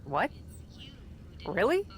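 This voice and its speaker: A flippant young woman wrestler chats in a game cutscene. Her mid-pitched voice is offhand and a bit defensive. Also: huge pitch swings, drawn-out words, a little hiss or hum, heavily acted. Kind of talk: casual video game cutscene dialogue.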